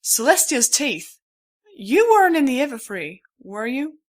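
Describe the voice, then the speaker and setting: A woman's voice: mid-range voice, normal speed, natural voice. Woman doing a twangy hearty middle-aged stallion. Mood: alarmed. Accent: American Southwest twang. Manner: folksy country talk, loud and hearty. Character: middle-aged male pony stallion, folksy, kindly, pushy. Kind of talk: audiobook character voice.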